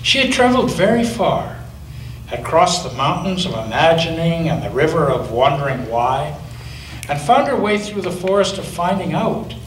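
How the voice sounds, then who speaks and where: Expressive male pastor reading a story. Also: on the high side, a little hiss or hum. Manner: expressive storytelling with slow emphasis. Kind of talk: reading a children's story aloud. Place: church. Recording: echoey church from a distance.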